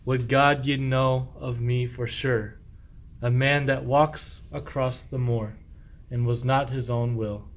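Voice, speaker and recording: Man acting a slow-talking sly medieval sheep thief. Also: medium pitch, fairly even intonation. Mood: lamenting. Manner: declamatory, archaic rhyming speech. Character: sly male medieval sheep thief, boastful and self-pitying. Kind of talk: radio play acting of medieval verse.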